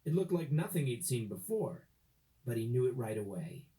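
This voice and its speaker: A woman reading a children's story aloud expressively, with dramatic, drawn-out phrasing. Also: a bass voice, very animated, mellow, rough recording.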